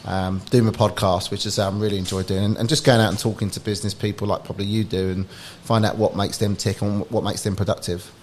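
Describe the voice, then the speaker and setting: Fast-talking man, low voice. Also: slight background noise. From United Kingdom. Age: 40s. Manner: lively storyteller who acts out quoted exchanges. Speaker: podcast guest, business founder. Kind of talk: casual interview chat.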